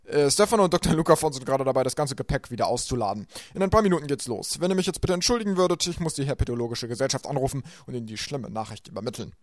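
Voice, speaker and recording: A man's voice: mid-range voice, expressive, no room sound, studio quality, natural voice. A man voicing a fussy, dismissive adult male banker who keeps coughing, in a homemade audiobook. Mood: brusque. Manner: loud, brisk, talks over the children. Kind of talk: audiobook character voice.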